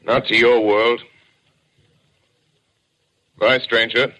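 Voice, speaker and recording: An actor playing a sombre, educated survivor in a 1930s radio drama, speaking in a grave, literary, deliberate manner. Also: male voice, mid-range voice, little pitch movement, bright, forceful tone. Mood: coldly resolved. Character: sombre, educated male survivor recounting a desolate journey. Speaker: radio drama lead and narrator. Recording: dull, band-limited 1930s radio recording.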